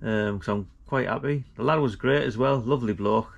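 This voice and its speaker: A man's voice: medium pitch, moderate pace, gravelly, lo-fi sound. A middle-aged man, chatting casually and informally as he hosts a vlog. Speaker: vlog host.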